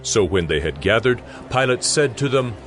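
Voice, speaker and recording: A man's voice: medium pitch, animated, normal speed, fairly bright tone. A man reading scripted scripture narration as the narrator of a drama. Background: music.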